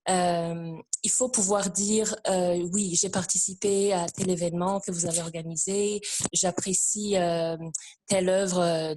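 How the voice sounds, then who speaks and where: A woman taking part in a discussion, giving informal personal testimony and pausing often to find her words. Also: lowish voice, dark tone. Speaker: discussion participant, immigrant services worker.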